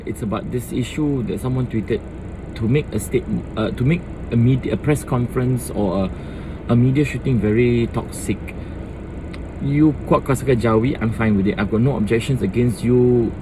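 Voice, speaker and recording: A young man with a Malaysian accent, speaking with rising passion and mixing Malay phrases into his English. His pitch sits in an ordinary middle range. Faint street noise and passing traffic sit behind him outdoors. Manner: impassioned, switching between English and Malay. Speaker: vlogger. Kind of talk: casual opinion rant to camera.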